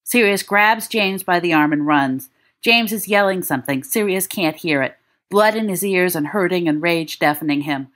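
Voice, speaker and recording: A woman reading fiction aloud as an audiobook narrator, with expressive delivery. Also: fairly low pitch, normal speed. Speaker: volunteer audiobook reader.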